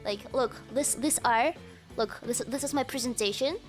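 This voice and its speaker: A woman's voice: a little high, moderate pace, soft background music. A young woman streamer with a hard-to-place mixed accent, chatting casually to her viewers with lots of fillers and laughter. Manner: talks to chat, frequent laughter and fillers. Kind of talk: casual livestream chat.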